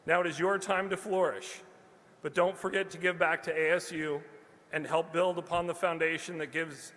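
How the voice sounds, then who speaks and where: A man's voice: a little high, bright, forceful tone, long reverb tail. A man giving a prepared ceremonial speech as an alumni speaker and presenter. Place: large ceremony hall, at a podium.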